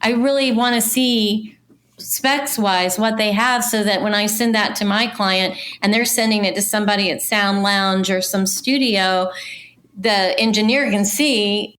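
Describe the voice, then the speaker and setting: A woman talking casually about her industry in an animated, conversational way. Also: mid-range voice, unhurried. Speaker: voice casting professional.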